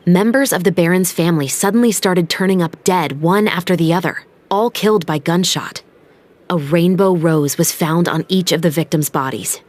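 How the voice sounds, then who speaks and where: A woman playing a composed, principled young musketeer officer in a video game, speaking in a measured, formal, earnest way. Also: lowish voice, a little mellow, close to an everyday voice. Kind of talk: dramatic game voice acting. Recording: music behind the voice.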